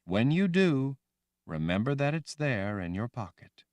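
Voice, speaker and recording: A man doing a soft, soothing motherly woman. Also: medium pitch, sing-song, unhurried, dry recording, voice pushed up. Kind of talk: audiobook reading.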